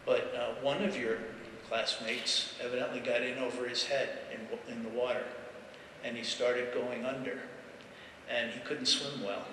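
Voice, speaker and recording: Guy talking slowly and haltingly, super echoey hall, far from the mic. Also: mid-range voice, fairly even intonation, pushed and bright. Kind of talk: slow, halting spoken recollection. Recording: echoey hall, distant mic, faint noise.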